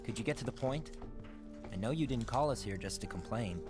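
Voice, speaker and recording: A man's voice: medium pitch, expressive, fairly quick, lightly acted. An actor playing a cool, blunt, skeptical man in a game cutscene, dry and matter-of-fact. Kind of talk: video game cutscene acting. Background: game score music.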